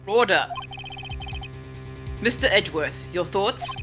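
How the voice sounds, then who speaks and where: A woman voicing a mild, formal, easily puzzled courtroom judge in a game fan dub, speaking deliberately. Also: mid-range voice, lively intonation. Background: music.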